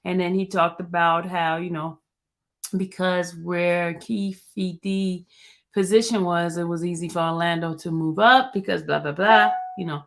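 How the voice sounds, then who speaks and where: A woman hosting a live stream, chatting casually, greeting viewers, and rambling before she reads. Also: mid-range voice.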